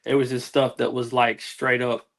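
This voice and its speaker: A man's voice: medium pitch, level tone, moderate pace, fairly bright tone. A man, a musician guest, talking casually and reflectively in slangy, candid, rambling interview answers.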